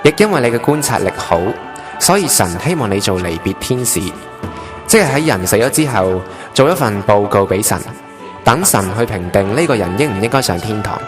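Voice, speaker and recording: A man's voice: on the low side, lively intonation, brisk, lightly acted. A man with a Hong Kong accent playing a solemn, authoritative chief angel giving instructions in a radio drama. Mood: solemn, instructing. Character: solemn male chief angel briefing a newly made trainee angel. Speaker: voice actor in an online radio drama. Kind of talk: Cantonese radio drama acting. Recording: music bed under the voice.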